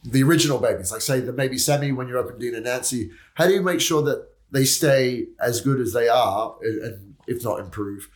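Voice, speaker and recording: A man hosting a podcast, asking questions in a relaxed, conversational way during a casual interview. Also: mid-range voice, fairly quick, hardly any pauses. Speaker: podcast host.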